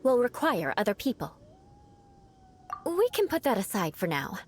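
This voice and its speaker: A woman's voice: fairly high pitch, sing-song, moderate pace. An actress playing a proud young noblewoman knight in a video game, speaking formally with a haughty, coolly teasing manner and theatrical menace. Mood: amused, composed. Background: game music.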